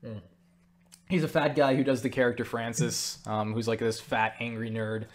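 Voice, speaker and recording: A man's voice: medium pitch, flat delivery, normal speed. A man talking in a casual, rambling monologue as he answers viewer questions, stumbling over words, interrupting himself and speaking bluntly. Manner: blunt, swears freely.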